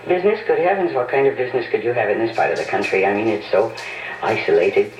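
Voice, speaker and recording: A woman's voice: a bass voice, fast, a little mellow, a little hiss or hum. An actress playing a young woman caught up in a vampire mystery, earnest and fearful, in an old-time radio drama. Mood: curious. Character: young woman visitor, anxious and frightened. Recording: old radio broadcast, distant and roomy.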